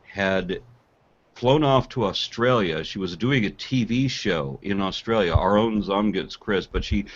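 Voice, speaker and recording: A man with a low voice chats casually as a podcast co-host. His audio is somewhat dull and lo-fi, like an internet call. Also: unhurried.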